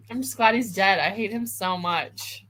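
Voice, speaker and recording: A woman's voice: mid-range voice, a little slow, fairly bright tone. A woman co-hosting a casual book discussion livestream, chatty and informal, often giggling as she talks. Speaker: co-host of book discussion live show. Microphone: video call over internet.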